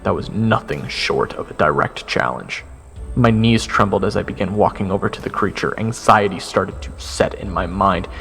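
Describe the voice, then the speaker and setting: A man's voice: sits low, normal speed, gravelly, a bit bright. A man playing a nervous young swordsman, narrating a fantasy encounter in the first person with earnest, dramatic delivery. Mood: hushed, awed. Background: fantasy game-style music.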